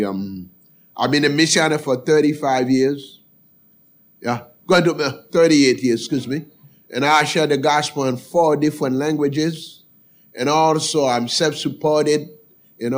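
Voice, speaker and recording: An older man with a Haitian accent, speaking as a missionary preacher giving an informal presentation in halting, short phrases of non-native English. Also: medium pitch.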